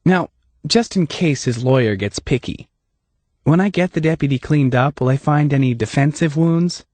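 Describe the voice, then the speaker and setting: Female agent, voiced by a man, wry, dry, slightly raised pitch, husky. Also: moderate pace, darkish tone, dry recording, close to an everyday voice. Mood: businesslike.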